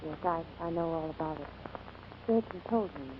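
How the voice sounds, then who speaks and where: An actress playing a wounded, bedridden woman, faint, gentle and resigned, in 1940s radio drama style. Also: low voice, moderate pace, lightly acted. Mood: weak, tender. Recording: muffled, noisy 1940s radio recording.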